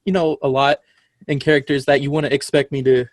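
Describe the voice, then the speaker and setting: A man, a voice actor, talking hesitantly and softly on a panel. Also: mid-range voice, flat delivery, brisk, dry recording. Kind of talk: casual panel chat.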